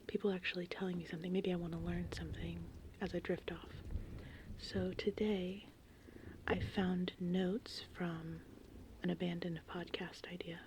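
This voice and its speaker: A woman in her thirties whispering softly and breathily in an informal, rambling ASMR style. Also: lowish voice, a little hiss or hum. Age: 30s. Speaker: ASMR channel host. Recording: steady rain and thunderstorm ambience behind. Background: gentle rain and thunder.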